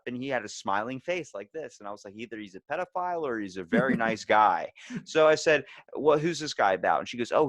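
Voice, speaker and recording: A man's voice: mid-range voice, fairly bright tone, dry recording. A man hosting a casual interview podcast, telling rambling, crudely joking anecdotes. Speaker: podcast host.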